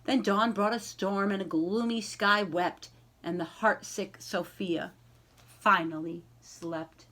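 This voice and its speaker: A woman reading a children's picture book aloud in an expressive storytelling style, addressing young listeners directly. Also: medium pitch. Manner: animated, pausing to ask young listeners questions.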